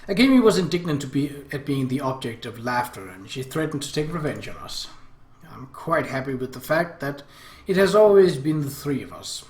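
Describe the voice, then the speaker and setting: A man narrating a visual novel aloud as an expressive, theatrical first-person story reading, in an echoey room. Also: medium pitch, moderate pace. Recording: roomy, echoey home recording.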